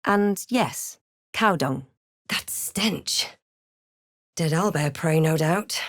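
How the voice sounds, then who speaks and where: A woman playing a wry, wary halfling adventurer in a fantasy video game, with a measured, dramatic delivery. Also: low-pitched, dry recording, clean studio sound, exaggerated voice. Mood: wry, watchful. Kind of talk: scripted video game voice lines and narration.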